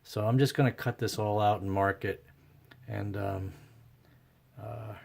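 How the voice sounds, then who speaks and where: A man narrating his own hands-on repair work casually and unscripted, thinking aloud in a halting way. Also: on the low side, fairly even intonation, creaky, a little mellow. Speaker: host of a radio repair vlog.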